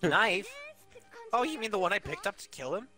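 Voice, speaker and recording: A man's voice: high voice, big pitch swings, moderate pace, pushed and bright, soft background music. A man reading game dialogue in a jokey, loose amateur fan dub with friends.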